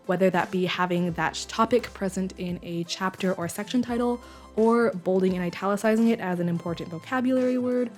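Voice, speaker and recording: A young woman with a slightly croaky voice from a cold, explaining her note-taking methods in a casual voice-over. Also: mid-range voice, darkish tone. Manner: explanatory, rambling with fillers. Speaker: study vlogger. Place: lives in the San Francisco Bay Area.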